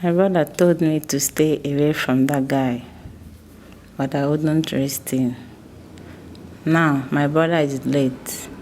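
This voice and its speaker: An actress playing a bereaved sister, telling her story in a solemn, mournful, deliberate way in a film drama. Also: low voice, fairly even intonation, darkish tone, slight background noise. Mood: sorrowful, solemn.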